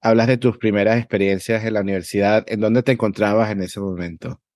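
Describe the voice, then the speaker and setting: A man's voice: on the low side, fairly even intonation, brisk, a little mellow, no echo. A man hosting a podcast interview, asking questions and recapping his guest's story to check it. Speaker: podcast host.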